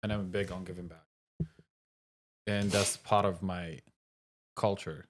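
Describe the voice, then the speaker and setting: A man's voice: deep voice, steady tone, measured pace, a little hiss or hum. A middle-aged man from Syria, a cafe owner giving blunt, short answers in a casual interview.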